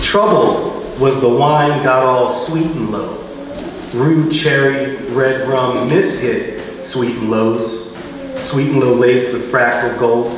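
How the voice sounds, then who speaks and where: An American man reading his poetry at a live event, with measured, deliberate phrasing. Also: mid-range voice, fairly even intonation. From Philadelphia, United States. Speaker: poet reading own work. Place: live reading venue, indoors. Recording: very echoey hall, distant mic, faint noise.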